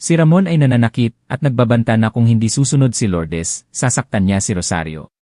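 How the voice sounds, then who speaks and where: A tender old grandmother, worried and confiding, as a male narrator puts her on. She speaks gently with sighs, in a mellow, lively voice. The sound is close and dry. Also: mid-range voice, fairly quick, no echo, natural voice.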